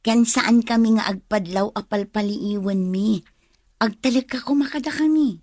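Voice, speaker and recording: A woman's voice: on the high side, moderate pace, darkish tone, no room sound, studio quality, close to an everyday voice. A woman with an Ilocano accent acting as a nosy friend turned sleuth in a radio drama. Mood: eager.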